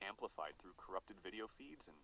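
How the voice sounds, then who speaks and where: A man's voice: sits low, animated, a little fast, creaky, sharp tone, slight background noise, poor audio. A man reading scripted horror documentary narration in an ominous, dramatic manner.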